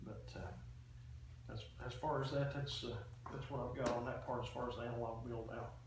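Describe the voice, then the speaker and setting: A man explaining a report at a public meeting in a halting, matter-of-fact way. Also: medium pitch, fairly even intonation. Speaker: official presenting a radio system report to a committee. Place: indoor public meeting room. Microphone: distant room microphone. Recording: echoey meeting room, distant mic, faint noise.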